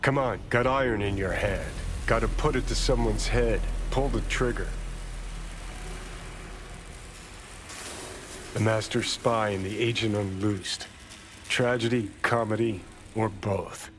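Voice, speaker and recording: An actor playing a jaded, foul-mouthed rebel ex-soldier in a video game, talking bluntly and sardonically. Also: male voice, fairly low pitch, moderate pace, noisy background, lightly acted. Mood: sardonic. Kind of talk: cinematic video game acting.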